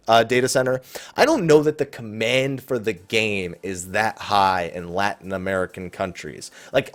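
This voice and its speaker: A man hosting a gaming Q&A, talking casually and off the cuff in a rambling, conversational way. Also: fairly low pitch. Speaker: host of a gaming Q&A show. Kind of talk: casual unscripted Q&A commentary, reading out viewer questions. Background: faint background music.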